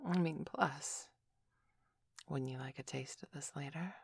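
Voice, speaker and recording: Female performer as a commanding, teasing adult heroine interrogator; breathy, unhurried and husky-low. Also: no echo, exaggerated voice. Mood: teasing, smug. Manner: breathy whispering, moaning, slow and sultry. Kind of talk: erotic audio roleplay.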